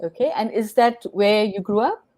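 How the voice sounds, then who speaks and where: A woman hosting an interview show, opening with a scripted introduction and then asking her guest measured, earnest questions. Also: medium pitch, a little mellow. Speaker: podcast host.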